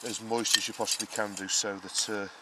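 A man giving a casual, explanatory gardening talk in a halting, unscripted way, as a vlogger would. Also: fairly low pitch, flat delivery. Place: outdoor vegetable garden and greenhouse. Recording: clearly noisy outdoor ambience, uneven distance.

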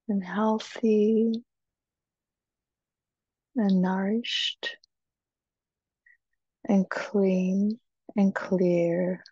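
A woman leading a guided meditation in a soft, breathy, soothing voice, with short phrases and long pauses. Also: mid-range voice, dry recording. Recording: dull, muffled, dry recording.

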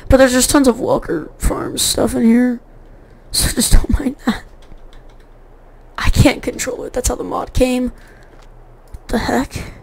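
Chatty woman with a gravelly, sore voice. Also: on the high side. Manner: rambling, self-correcting, chatty. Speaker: gaming YouTuber. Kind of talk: casual gameplay commentary.

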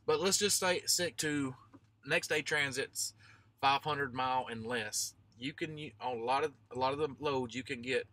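A man in his thirties speaks in an informal vlog style with a bright, forceful voice. He explains emphatically, repeating phrases to hammer them in, at an average male pitch. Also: normal speed. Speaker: trucker vlogger.

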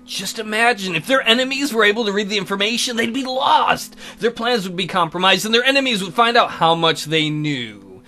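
A man's voice: high voice, lively intonation, fairly quick, few pauses, bright, forceful tone. A man co-hosting a let's play, acting out an enigmatic tutor's lines from a visual novel in a jokey way. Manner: jokey ad-libbing. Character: enigmatic male tutor hinting at secrets. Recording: guitar music behind.

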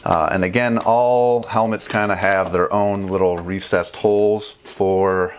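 Low-voiced man explaining a helmet install. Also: a little slow, clean tone. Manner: relaxed, chatty, many fillers and restarts. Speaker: motorcycle travel vlogger. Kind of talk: casual how-to demonstration. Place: small home room with bookshelves and desk.

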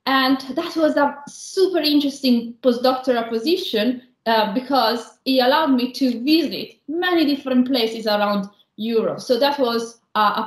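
A woman from northern Italy with a strong Italian accent, giving an online lecture as a senior lecturer, explaining slowly with many pauses. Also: high-pitched. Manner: measured, explanatory, frequent um and uh pauses. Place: indoor room, remote video call. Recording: roomy echo.

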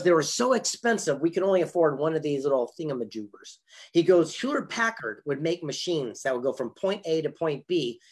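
An older man with a husky voice, telling motivational stories as a guest speaker in an emphatic, deliberate way on a video call. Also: fairly high pitch. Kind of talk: motivational storytelling. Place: indoor room on a video call.

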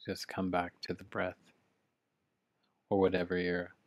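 A man leading a live guided meditation, speaking calmly and slowly with long pauses between phrases, from home over a video call. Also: sits low, no echo. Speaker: meditation teacher. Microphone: video call mic.

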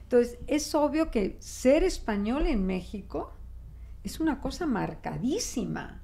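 Brief: older woman from Spain, Spain-accented Spanish, unhurried, slightly raised pitch, gravelly texture, reflective studio guest. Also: slight background noise. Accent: Spanish from Spain, adapted toward Mexican Spanish. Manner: expressive, reflective, with frequent restarts and gestures. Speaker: guest linguist. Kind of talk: conversational interview. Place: small studio office, indoors. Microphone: desk microphone.

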